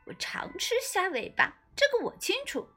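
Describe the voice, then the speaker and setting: A woman voicing timid but excitable little storybook creatures with an eager, squeaky manner. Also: moderate pace, faint music behind, exaggerated voice. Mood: excited.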